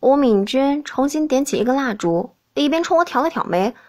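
High-pitched woman narrating an audiobook. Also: lively intonation, moderate pace. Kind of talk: expressive scripted narration.

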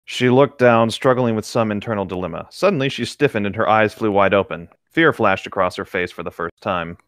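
A man with a fairly low voice co-hosts a read-along. He moves between reading aloud and relaxed conversation with friends. The sound is dry, with almost no room echo. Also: a little fast. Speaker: co-host of a group read-along commentary show. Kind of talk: casual chat and reading aloud.

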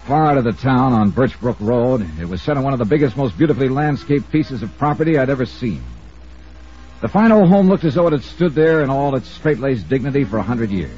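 On a dull old radio recording with faint music, an actor plays an American male investigator. He is wry and self-assured, his pitch swinging freely as he talks and narrates. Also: darkish tone, natural voice. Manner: wry, brisk, crisply emphatic. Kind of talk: 1950s radio drama dialogue and first-person narration.

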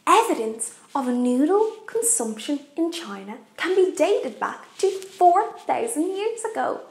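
Animated young woman teaching kids. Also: high-pitched. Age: young adult. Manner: animated, expressive, deliberate for kids. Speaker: kids' cooking show host. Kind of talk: children's educational presenting. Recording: echoey room.

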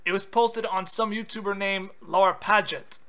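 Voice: mid-range voice, steady tone, moderate pace, a bit bright. A prank caller on a phone call, confrontational and insistent with questions.

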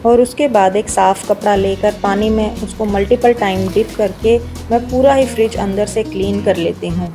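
A woman with an Indian accent giving a calm, step-by-step voice-over for a home cleaning video. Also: medium pitch, normal speed, hardly any pauses. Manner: explains each step in a steady how-to tone. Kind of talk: calm scripted voice-over narration. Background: background music.

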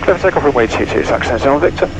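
A man's voice: medium pitch, expressive, racing. A man in his thirties on an aviation headset, giving clipped, matter-of-fact cockpit commentary and procedural radio readbacks as a pilot. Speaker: pilot and flight vlogger. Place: small private jet cockpit. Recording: loud aircraft cockpit noise. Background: loud aircraft engine and cabin noise.

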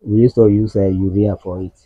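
A middle-aged man with a Nigerian accent, patiently explaining farm work outdoors with halting speech and many fillers. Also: lowish voice, flat delivery. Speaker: farm worker. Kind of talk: explaining farm practice on a walking tour. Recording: dull lo-fi sound.

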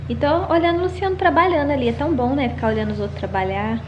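A young Brazilian woman with a fairly high voice talks casually to her viewers as a vlogger. She records at home on a handheld camera, with faint noise in the background. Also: rapid-fire, lo-fi sound. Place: at home, covered garage and kitchen.

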